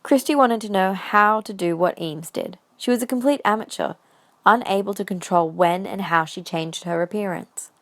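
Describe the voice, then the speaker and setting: Woman narrating a fanfic audio drama, scripted read, medium pitch. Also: moderate pace.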